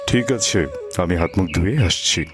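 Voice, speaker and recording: A man playing a doting, tender-hearted village father in a cartoon story, affectionate and gently expressive. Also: deep voice, normal speed, over music. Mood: fond.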